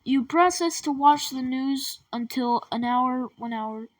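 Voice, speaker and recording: A woman's voice: sits high, unhurried. A woman giving casual, informal reactions in muttered asides, recorded at a distance with noise. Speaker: video host reacting to a broadcast. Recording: distant mic with noisy background.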